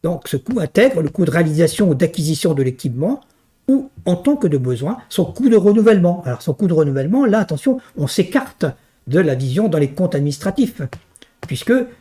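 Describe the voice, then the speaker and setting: Man, lecturer, didactic and emphatic, swooping intonation, slightly mellow tone, rhetorical tag questions. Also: on the high side, very animated, brisk. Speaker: university lecturer. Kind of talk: teaching a technical finance course.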